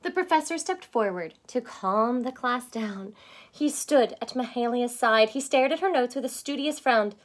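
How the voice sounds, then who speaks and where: A woman reading a children's storybook aloud expressively, in the manner of someone explaining it to young listeners. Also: on the high side. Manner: expressive, pausing to explain words simply. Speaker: storybook reader.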